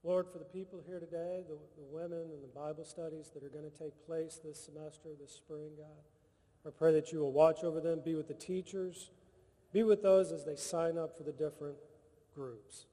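A man's voice: fairly high pitch, steady tone, normal speed, warm tone. A man who is a chaplain, leading the group in a fervent, repetitive prayer. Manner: fervent, repetitive calls to God. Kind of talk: public prayer. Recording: long reverb of a large hall.

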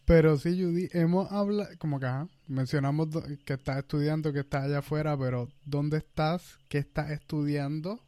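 A man interviewing a guest, asking halting, relaxed questions and backchanneling often. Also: a little high, no echo. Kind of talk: casual interview.

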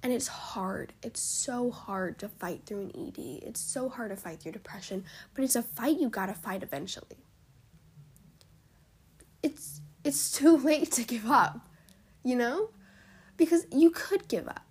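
A hoarse young woman hosting a solo podcast, speaking in an encouraging, heartfelt way, her voice cutting in and out and often dropping to a whisper. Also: a little high, animated, a little slow, darkish tone. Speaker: podcast host. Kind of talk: solo motivational podcast talk.

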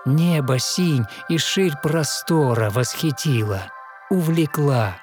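A man narrating a fairy tale in verse, with measured, rhythmic storytelling. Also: on the high side, darkish tone, soft background music.

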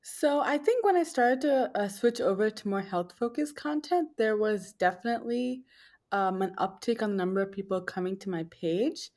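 A woman's voice: mid-range voice, lively intonation, normal speed, a little mellow. A woman in her twenties with a nasal voice, answering casually as a podcast guest. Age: 20s. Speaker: podcast guest, food blogger. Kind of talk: casual conversational interview.

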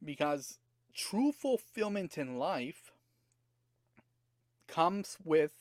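Slightly hoarse male podcast host, high-ish voice, dry close sound, earnest and questioning. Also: big pitch swings, extremely slow, a little mellow, dry recording. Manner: earnest, repeats rhetorical questions to the listener. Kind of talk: motivational podcast monologue.

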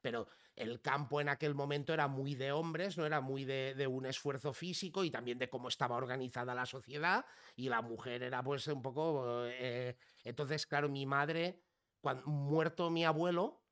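This is a man from Catalonia with a middle-pitched voice, chatting informally on a podcast. He rambles from one thought to the next and keeps checking in with the listener, with an offhand swear here and there. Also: moderate pace, clear, resonant tone. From Catalonia, Spain (Girona). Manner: relaxed, rambling, peppers speech with "¿sabes?" and "tío", swears casually. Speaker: podcast conversation participant. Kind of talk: casual chat.

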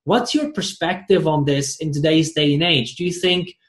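A man's voice: on the high side, normal speed. A man hosting a conversational interview, rambling along and often stumbling over and repeating his words. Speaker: podcast host interviewing a guest. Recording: roomy, echoey sound.

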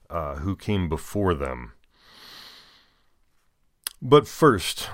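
An American man serving as a deacon, reading scripture and adding folksy, deliberate commentary. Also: sits low, dry recording, studio quality. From United States.

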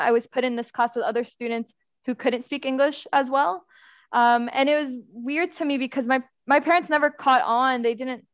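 Earnest young woman, smooth high voice. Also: dry recording, lo-fi sound. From Afghanistan. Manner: earnest, talks in long winding sentences with restarts. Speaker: guest from a youth education nonprofit. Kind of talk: casual interview chat.

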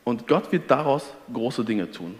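A man's voice: medium pitch, expressive, a little slow, big-room sound. A man from Ostwestfalen in Germany, talking about faith in an earnest, teacherly way and quoting scripture. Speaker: guest. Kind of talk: faith conversation.

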